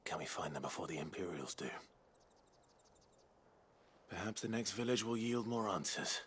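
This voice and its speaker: An actor playing a solemn, courteous and determined traveler in a fantasy video game, with a measured, earnest delivery. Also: male voice, lively intonation, fairly bright tone, slightly rough, exaggerated voice. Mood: measured, serious. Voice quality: deep, low. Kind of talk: scripted video game acting.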